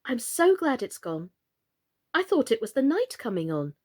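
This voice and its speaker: Storybook girl of seven, played by a woman: polite, earnest, questioning, high and very expressive. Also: moderate pace. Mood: curious.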